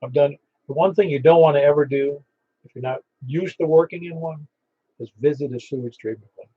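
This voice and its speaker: A man running a ham radio net, chatting in a relaxed way and reading out call signs, heard over a muffled radio receiver. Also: fairly high pitch, brisk, a little hiss or hum. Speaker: net control operator.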